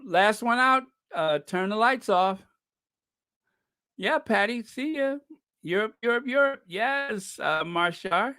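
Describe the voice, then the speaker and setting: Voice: mid-range voice, a bit bright. A live-stream host signing off in casual, rambling chat, joking and chuckling and calling chat viewers by name.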